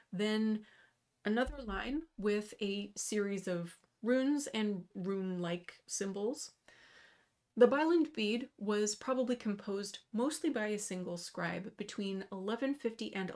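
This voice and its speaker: A woman in her thirties reads a prepared lecture, slowing down to weigh each technical term. Her voice sits at an ordinary middle pitch. She speaks to a webcam from a small room at home. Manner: measured, careful reading with slow emphasis on terms. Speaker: runology lecturer. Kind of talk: scripted lecture over slides. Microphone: webcam video in the corner.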